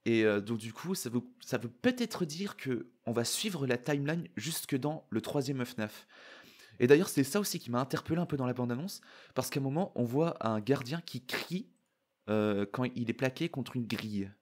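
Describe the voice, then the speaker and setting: A man giving casual, excitable, slangy reaction commentary. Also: medium pitch, normal speed. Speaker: YouTuber reacting to and analysing a trailer.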